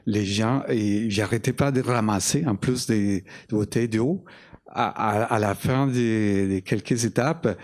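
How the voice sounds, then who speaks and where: Slow, halting man from Colombia. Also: lowish voice, darkish tone. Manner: slow and halting, many fillers and restarts. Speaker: panel guest, cartoonist and illustrator. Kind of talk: panel discussion at a festival.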